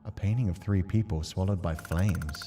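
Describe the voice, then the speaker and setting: Low, subdued, brooding haggard man, read by a man. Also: normal speed, dark tone, soft background music. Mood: uneasy. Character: haggard, uneasy man exploring an eerie train. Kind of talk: visual novel dialogue read aloud.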